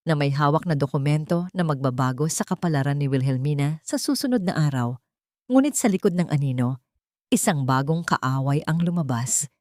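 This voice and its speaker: A woman narrates dramatic fiction in a somewhat low voice. Her delivery is solemn and full of suspense, as in an audiobook. The sound is dry, with very little room in it. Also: a little mellow, dry recording. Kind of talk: dramatic scripted story narration.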